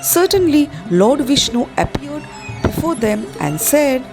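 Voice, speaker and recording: A woman's voice: high voice, big pitch swings, a little slow, creaky. A woman with an Indian accent narrating a school play as dramatic scripted storytelling, over music. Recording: music behind the voice.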